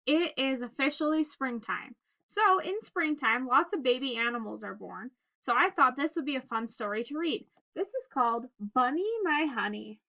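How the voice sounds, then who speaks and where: A woman with a high-pitched, bright voice reads a picture book aloud for young children. Her delivery is expressive and simply phrased, as a storyteller's. The recording is a little dull and lo-fi. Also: moderate pace. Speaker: read-aloud host reading a picture book.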